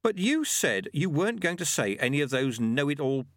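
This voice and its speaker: A man's voice: a little high, big pitch swings, moderate pace, a bit bright, dry recording, clean studio sound, voice pushed up. A man voicing a young apprentice monk in a storybook, eager, put-upon and protesting. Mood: aggrieved.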